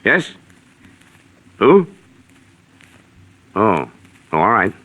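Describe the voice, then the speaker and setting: An American actor playing a suspicious, hot-tempered client in a 1940s radio crime drama. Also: male voice, lowish voice, very animated, extremely slow, phone-quality sound, noisy background, heavily acted. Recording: vintage 1940s radio recording.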